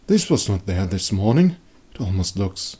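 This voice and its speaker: A man's voice: sits low, sing-song, moderate pace, mellow, breathy edge, heavily acted. A man voicing a shaken but capable village woman who tends the wounded in a fantasy story, grave and firm. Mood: horrified.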